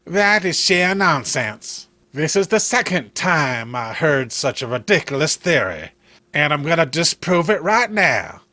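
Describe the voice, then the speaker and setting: A man's voice: fairly high pitch, very animated, a little slow, bright, forceful tone, dry recording, exaggerated voice. A man playing a stubborn, skeptical rural sheriff with a folksy, blustering manner in a comic story. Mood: stubborn resolve.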